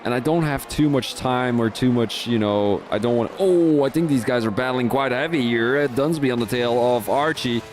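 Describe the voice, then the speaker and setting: A man's voice: medium pitch, moderate pace, few pauses, slight background noise. A young Dutch man with a Dutch accent, commentating a sim racing league in a chatty, rambling way with many restarts. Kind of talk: live race commentary mixed with casual chat.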